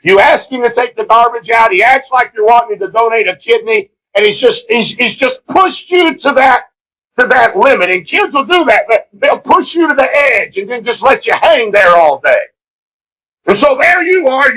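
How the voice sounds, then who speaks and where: A middle-aged man preaching a fervent fundamentalist sermon, emphatic and repeating phrases, swinging between hushed gravity and shouting, on an old cassette recording. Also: extremely high pitch, lively intonation, moderate pace, low-quality recording.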